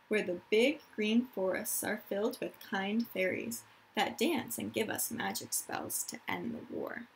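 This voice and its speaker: Slow-paced woman reading to children. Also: a little high, rough recording. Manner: dramatic, deliberate storytelling delivery, addressing children directly. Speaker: teacher reading a picture book aloud to pupils. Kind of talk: expressive children's story read-aloud with explanatory asides.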